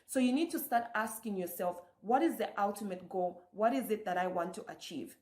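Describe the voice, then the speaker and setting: A woman's voice: mid-range voice, brisk, a little mellow. Coaching woman in an echoey room. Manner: coaching, rhetorical questions to the listener. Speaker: summit host. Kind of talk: motivational address.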